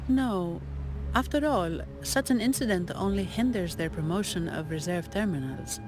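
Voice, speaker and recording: A woman playing a courteous, composed bodyguard and guide in a video game, speaking in a polite, measured, businesslike way. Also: on the low side, expressive, background noise, lightly acted. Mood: polite, explanatory. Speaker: hired guard and guide. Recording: music behind the voice.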